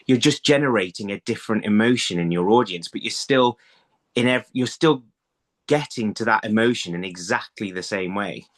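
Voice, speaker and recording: A man in his thirties giving conversational interview answers over a video call, haltingly, thinking aloud and repeating words. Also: fairly low pitch, animated. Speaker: writer being interviewed. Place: indoor room, video call. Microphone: phone on a video call.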